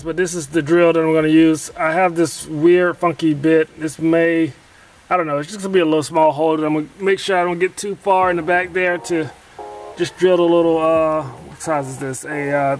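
A man's voice: fairly high pitch, steady tone, brisk, soft background music, poor audio. A man narrating as he installs a radio in his truck, talking casually to the camera, rambling and correcting himself with lots of fillers. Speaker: DIY vlogger installing a CB radio. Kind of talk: casual how-to narration while working. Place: inside a pickup truck cab and garage.

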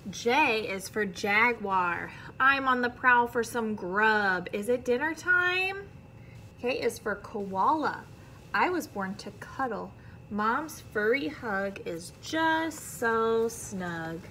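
American lady reading a kids book out loud, kinda high bright voice, expressive, bit of room noise. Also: slow pace. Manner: expressive, careful reading with friendly asides to young listeners. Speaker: teacher reading aloud. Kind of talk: children's picture book read-aloud. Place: indoor classroom.

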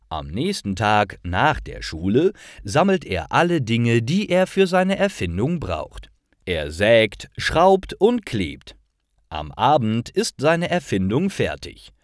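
A man reading a scripted story for language learners, with clear and careful, expressive enunciation. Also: mid-range voice, slow, no room sound, clean studio sound. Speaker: narrator of stories for language learners.

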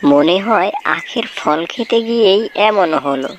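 A woman's voice: medium pitch, expressive, slow, noisy background. A woman voicing a sly, foolish and greedy fox in a cartoon folk tale, exclaiming and talking to itself. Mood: puzzled, stubborn.

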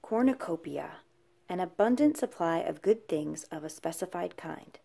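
Animated woman reading a children's comic aloud. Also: fairly low pitch, normal speed, gravelly, slightly rough. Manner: playful, switches tone between characters. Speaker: read-aloud narrator. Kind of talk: children's comic read-aloud, acting out dialogue.

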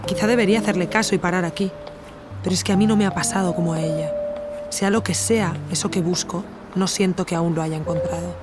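An actress playing an introspective podcast investigator with an accent from Spain, narrating in a measured, reflective first person for an audio drama. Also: female voice, fairly low pitch, steady tone, over music, close to an everyday voice. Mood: pensive, questioning.

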